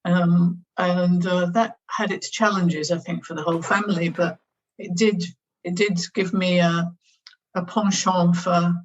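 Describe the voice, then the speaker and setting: A woman's voice: on the low side, fairly even intonation, measured pace, dull audio, slight background noise. A woman from near Manchester with a Northern English accent, talking thoughtfully as an interview guest with frequent fillers and restarts. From England, near Manchester. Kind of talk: conversational interview.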